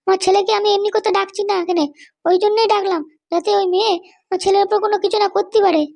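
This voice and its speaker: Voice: very high-pitched, steady tone, quick pace, creaky, darkish tone. A performer voicing a bossy, suspicious, scheming Bengali wife and mother in a comedy cartoon, with a childlike voice and a nagging, commanding manner. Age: middle-aged. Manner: nagging, commanding, keeps demanding to be understood. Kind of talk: animated comedy cartoon dialogue.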